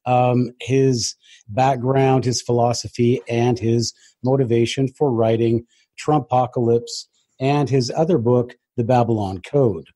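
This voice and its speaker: Guy hosting a podcast, soft dark voice, bit husky, really dry close sound, kinda halting. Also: mid-range voice, steady tone, dry recording. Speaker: podcast host.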